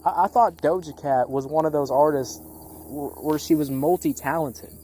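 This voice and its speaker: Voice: mid-range voice, moderate pace, mellow, slight background noise. A music critic giving a casual, opinionated album review, speaking bluntly and repeating phrases for emphasis. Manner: casual swearing.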